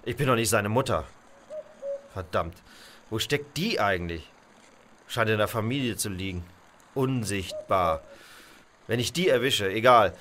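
An actor playing an irritable, exasperated boss of heaven in a radio play, muttering and grumbling. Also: male voice, mid-range voice, fairly bright tone, a little hiss or hum.

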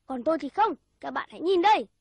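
A woman's voice: high-pitched, expressive, fast talker, vocal fry, darkish tone, dry recording. A woman voicing a cocky, playful schoolboy magician in a cartoon, announcing theatrically. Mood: showy. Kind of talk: cartoon voice acting, stage patter.

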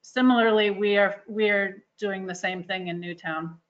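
A woman's voice: mid-range voice, steady tone, normal speed. A woman speaking informally as a school board member in an online meeting. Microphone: video call. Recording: dull lo-fi video-call audio.

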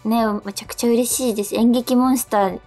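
A woman's voice: fairly high pitch, steady tone, brisk, mellow, soft background music. Polite woman chatting on radio. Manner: polite, modest, hesitant phrasing. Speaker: radio co-host, stage actress. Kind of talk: casual radio chat.

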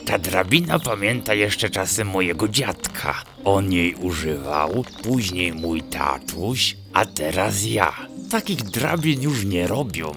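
A man's voice: sits low, lively intonation, slow pace, few pauses, over music, heavily acted. A man voicing a dim, cheerful young fox in a children's bedtime story, drawling hesitantly with thoughtful hums. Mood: proud, trusting. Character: dim, slow-thinking, cheerful young male fox cousin.

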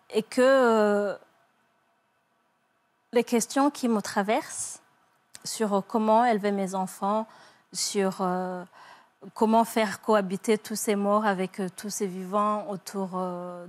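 Soft-spoken woman, halting testimony. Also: mid-range voice. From Rwanda. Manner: soft, halting, many hesitations and repeats. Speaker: guest, psychologist. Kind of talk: emotional TV interview testimony. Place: TV studio set. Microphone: lapel mic.

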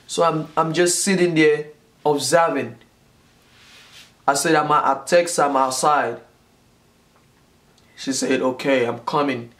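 A man in his twenties from Nigeria tells a story straight to a camera in a small room at home. His voice sits a little high for a man. He talks in a lively, gesturing way and hammers his points home by repeating them. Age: 20s. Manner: animated storytelling, repeats phrases for emphasis, gestures a lot. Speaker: vlogger. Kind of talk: casual storytime vlog. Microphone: camera in front, close framing.

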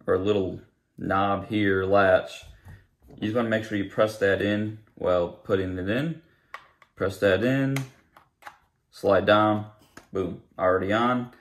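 A young adult man giving a casual, unscripted product review in a plain-spoken, explanatory way, recorded indoors in a living room. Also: sits low.

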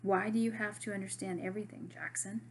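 A woman's voice: medium pitch, fast, husky, close to an everyday voice. A woman reading as a spirited schoolgirl. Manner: lively, emphatic storybook dialogue. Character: spirited, blunt schoolgirl, loyal best friend.

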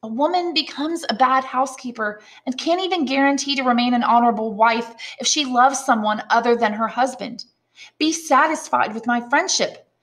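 A woman reader puts on a young woman in love from a classic novel, devoted and unyielding. The voice is high and bright, with earnest, emphatic delivery. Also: moderate pace, natural voice. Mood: firm, resolute.

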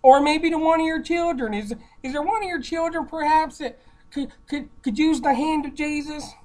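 A high-pitched, pious put-on church lady played by a woman works through an improvised prank call. She is chatty and folksy, gushes kindly blessings and keeps a deferential, polite manner. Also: normal speed, darkish tone, close to an everyday voice, poor audio. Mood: earnest, pious. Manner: gushing, folksy, deferential, repeatedly saying ma'am. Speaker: prank caller.

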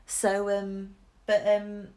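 A young English woman with a mixed Midlands accent talks slowly, full of ums and small restarts. She chats casually as she draws at home, and the room sounds echoey around her. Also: medium pitch, completely flat delivery, mellow. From Shropshire, England. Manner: chatty, many ums and restarts, laughs easily. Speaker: artist streamer. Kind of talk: casual chat while drawing. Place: living room at home, indoors. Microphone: camera over the shoulder.

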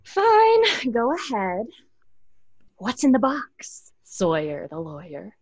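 A sardonic, impatient young woman in an audio drama. Her pitch moves a lot, her manner is dry and sarcastic, and the sound is close and dry. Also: wildly swooping pitch, slow pace, darkish tone, no echo, muffled recording, heavily acted.

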